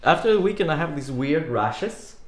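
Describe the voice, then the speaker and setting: A man's voice: on the high side, lively intonation, moderate pace. Rambling man streaming live, echoey room. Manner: rambling, many "like" fillers, laughs easily. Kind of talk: casual live-stream chat. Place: home room.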